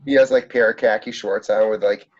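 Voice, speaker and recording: A man's voice: fairly low pitch, fairly even intonation, brisk, faint music behind. Congested joking man on a muffled call. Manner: joking, laughs often. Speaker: student team member. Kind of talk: casual video call chat. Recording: dull lo-fi call audio.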